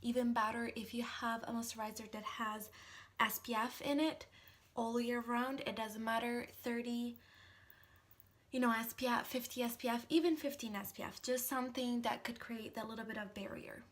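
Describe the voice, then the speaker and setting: A woman's voice: fairly high pitch, fairly even intonation, normal speed. A woman in her thirties from Italy with an Italian accent, casually talking through a makeup routine, full of ums and small restarts. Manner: chatty, with frequent ums and restarts. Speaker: makeup tutorial host. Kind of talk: casual how-to narration.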